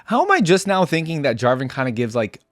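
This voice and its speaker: A man's voice: on the high side, wildly swooping pitch, fast talker, no room sound. Man, casual chatty commentary, dry close sound. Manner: chatty, rambling, conversational. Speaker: reaction video host.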